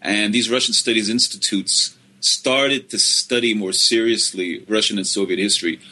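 A middle-aged man from Finland, a history professor telling his story in an interview, halting with many restarts. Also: lowish voice, fairly even intonation, pushed and bright, rough recording. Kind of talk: relaxed interview answers.

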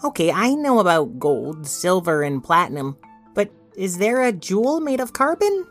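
A woman's voice: lowish voice, big pitch swings, moderate pace, soft background music. A woman playing a curious, slightly bossy girl who narrates a children's audio drama with an eager storytelling manner. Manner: clear storytelling, eager questioning. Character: bright, curious, bossy little sister who narrates the adventure.

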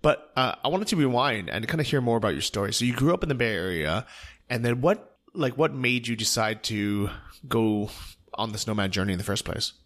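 A man's voice: medium pitch, a little fast. A man hosting a podcast, chatting casually and asking his guest follow-up questions. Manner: relaxed, conversational, asks follow-up questions. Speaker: podcast host. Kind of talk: casual interview.

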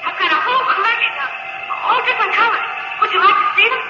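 A performer playing an eager, sensitive little boy who chatters excitedly in an old-time radio drama. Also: very high voice, fast. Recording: muffled old radio, loud music behind.

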